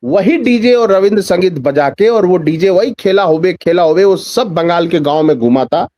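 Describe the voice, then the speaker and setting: High-pitched man giving emphatic political commentary. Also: lively intonation, normal speed, darkish tone, no echo, clean studio sound. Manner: emphatic, repeats phrases, rhetorical questions. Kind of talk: political commentary in a news interview.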